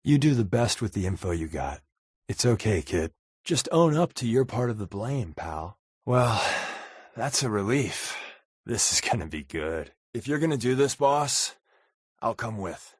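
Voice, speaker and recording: A man playing a wry, sarcastic spy companion in a video game, with a deadpan, flippant delivery. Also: fairly low pitch, unhurried, husky, dry recording, clean studio sound, exaggerated voice.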